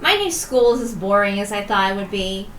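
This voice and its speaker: A woman's voice: medium pitch, lively intonation, fairly quick, fairly bright tone, close to an everyday voice. A woman voicing a brash, teasing, independent young twin sister from a visual novel. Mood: casual, bored. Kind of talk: visual novel character reading. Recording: roomy, distant mic with faint noise.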